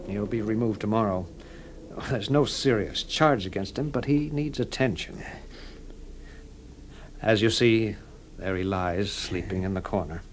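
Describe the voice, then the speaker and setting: A man's voice: lowish voice, lively intonation, measured pace, long pauses, slight background noise. A man playing a matter-of-fact, officious prison keeper in a radio drama.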